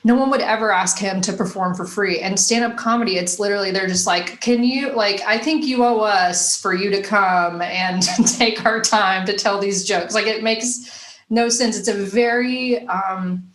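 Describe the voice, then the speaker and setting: A woman's voice: mid-range voice, moderate pace, fairly bright tone. A woman from Nashville with a faint Southern accent, talking through her stories as a guest comedian in a loose, rambling way, full of "you know" and "like.". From Nashville, Tennessee. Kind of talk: casual interview chat. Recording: roomy echo.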